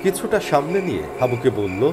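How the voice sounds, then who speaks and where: A man narrating a scripted animated horror story in a dramatic storytelling style. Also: mid-range voice, brisk, music in the background.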